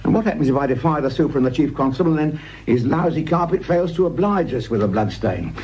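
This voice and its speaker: A man playing a gruff, world-weary older police sergeant with a British accent, grumbling and sardonic in a radio crime drama. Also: on the high side, expressive, quick pace, darkish tone, muffled recording, close to an everyday voice. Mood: doubtful, dismissive. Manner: grumbling, sardonic, hesitant muttering. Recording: noisy radio drama track with ambience.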